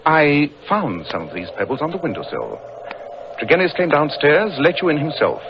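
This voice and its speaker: Through heavy noise on a muffled old radio recording comes a British detective, fairly high-voiced and very animated. He is commanding and coolly deductive, with crisp, theatrical authority. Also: normal speed. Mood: coolly accusing. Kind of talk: 1940s radio drama acting.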